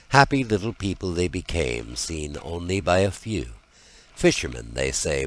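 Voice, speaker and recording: A man narrating a scripted fairy tale as an audiobook, in a dramatic and deliberate storytelling manner. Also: very deep voice.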